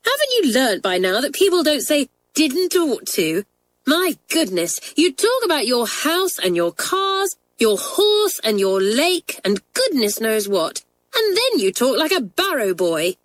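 A woman's voice: on the high side, expressive, a little slow, hardly any pauses, dry recording, voice pushed up. A woman reading a children's storybook, voicing an impatient, hot-tempered schoolgirl who scolds bluntly and emphatically. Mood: outraged, scornful.

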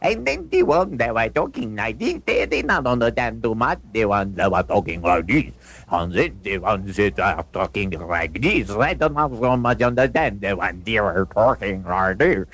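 A man's voice: fairly low pitch, sing-song, normal speed, fairly bright tone, exaggerated voice. A man playing a rambling storyteller in a comedy piece, repeating himself with muddled, mangled grammar. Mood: muddled, comic. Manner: repetitive, garbled grammar. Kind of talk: comic storytelling.

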